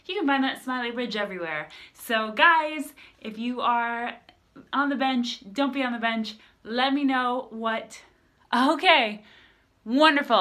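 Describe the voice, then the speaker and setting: A woman's voice: on the high side, pushed and bright. A woman hosting her own live improv comedy stream, greeting viewers with upbeat energy and asking for suggestions. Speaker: improv comedy show host.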